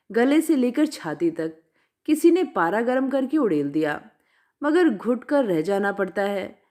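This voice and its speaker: A woman with an Indian accent reading a classic short story aloud as its narrator, in an expressive storytelling style. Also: on the high side.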